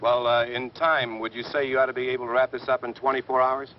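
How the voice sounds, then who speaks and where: An actor playing an earnest American TV reporter doing an outdoor interview in an old film. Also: male voice, mid-range voice, little pitch movement, fast, lightly acted. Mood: earnest, inquiring. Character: earnest male TV field reporter questioning a sheriff. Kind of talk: on-location news interview. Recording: dull, noisy old outdoor film sound.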